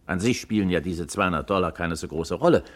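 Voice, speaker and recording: A man playing a puzzled, well-off husband confiding carefully in an old friend, in a 1950s radio crime drama. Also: low-pitched. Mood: puzzled, explaining. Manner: deliberate, explanatory, carefully emphatic.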